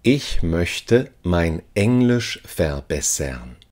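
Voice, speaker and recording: A man reading short phrases for a language lesson, saying each one slowly and repeating it. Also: sits low, big pitch swings, a little mellow. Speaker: language teacher.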